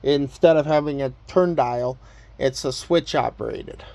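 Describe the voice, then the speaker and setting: A man's voice: mid-range voice, unhurried, creaky, dark tone, dry recording. A man casually presenting and demonstrating items from his collection in a plain, matter-of-fact way. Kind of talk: casual show-and-tell demonstration.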